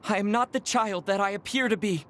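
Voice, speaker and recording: A woman voicing a video game character, a small, child-looking man decades older than he seems, with formal, measured, earnest diction. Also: mid-range voice, a bit bright, lightly acted. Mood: grave, resigned. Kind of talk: dubbed video game cutscene acting. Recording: music behind the voice.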